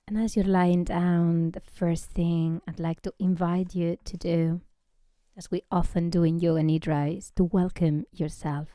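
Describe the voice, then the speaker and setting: Very soft, slow, mellow woman guiding relaxation. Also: lowish voice, level tone, dry recording, clean studio sound. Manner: gentle, unhurried, often dropping to a breathy near-whisper. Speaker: yoga nidra teacher. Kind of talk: guided relaxation meditation.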